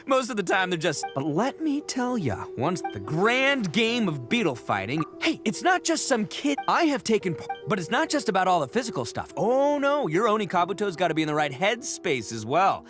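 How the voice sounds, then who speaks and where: A man's voice: high-pitched, very animated, normal speed, few pauses. A man voicing a brash, goofy young oni gang boss in a video game, bragging and blustering, stammering when cornered. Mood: eager, confident. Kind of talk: animated video game voice acting. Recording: game music behind the voice.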